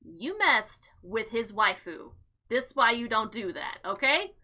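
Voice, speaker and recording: A woman hosting a game playthrough, mixing informal commentary with theatrical, expressive reading aloud. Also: on the high side, a little slow. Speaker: gaming video host.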